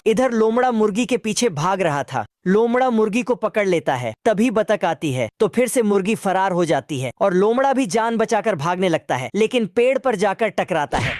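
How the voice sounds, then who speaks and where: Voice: mid-range voice, animated, moderate pace, few pauses, darkish tone, no echo, studio quality. A narrator recapping a cartoon in a casual, chatty way with a bit of street slang. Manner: asks viewers to comment.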